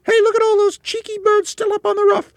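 Storybook villainess, elderly wife: screechy, extremely high, bossy and hysterical. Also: male voice, completely flat delivery, quick pace, vocal fry, no room sound. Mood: gloating. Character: shrieking, spiteful, hysterical nasty old wife.

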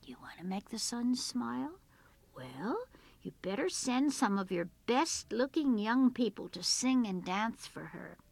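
A woman voicing wise little men, calm advisers in a folk tale, speaking in a hushed, deliberate, knowing way. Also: a little high, vocal fry, no room sound, heavily acted. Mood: grave advising.

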